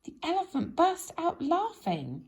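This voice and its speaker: A woman reading a children's story aloud in an expressive, animated way, speaking directly to young listeners. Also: very high-pitched, a little slow. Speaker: storybook reader.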